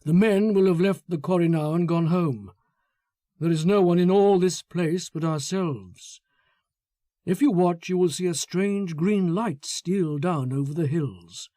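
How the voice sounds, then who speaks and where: Audiobook role: male reader as a coldly possessive brooder, soft dark timbre, musing and intense. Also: on the high side, no echo, studio quality, natural voice. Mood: quietly ominous. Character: brooding, coldly possessive man harbouring secret hatred.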